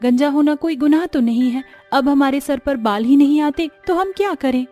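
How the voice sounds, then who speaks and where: A woman voicing a young, bald daughter-in-law who complains in a high, pleading voice. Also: normal speed, dark tone, faint music behind, close to an everyday voice. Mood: indignant.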